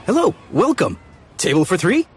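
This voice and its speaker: A man's voice: sits high, huge pitch swings, normal speed, background noise. A man playing a jovial, hospitable chef in a video game, hearty and eager to please. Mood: welcoming. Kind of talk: scripted video game character acting. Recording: music behind the voice. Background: game music.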